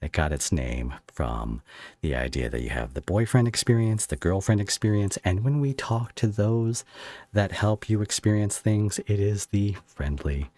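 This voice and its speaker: A man's voice: lowish voice, moderate pace, mellow, no room sound. A man hosting a casual livestream podcast interview, effusive and given to rambling as he talks with his guest.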